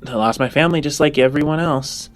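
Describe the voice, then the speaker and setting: A man playing a guarded fifteen-year-old orphan boy in a tabletop game, speaking haltingly as if reciting. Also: a little high, voice pushed up, close to an everyday voice.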